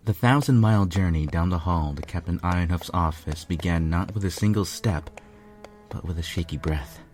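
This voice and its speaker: A man's voice: deep voice, normal speed, darkish tone, faint music behind. A man reading a piece of fiction aloud as a scripted narration. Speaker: fan fiction reader, narrator.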